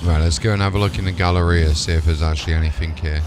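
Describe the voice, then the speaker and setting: A man's voice: low-pitched, steady tone, racing, noisy background. A man chatting casually as a game livestreamer, thinking aloud in an informal way. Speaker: live streamer.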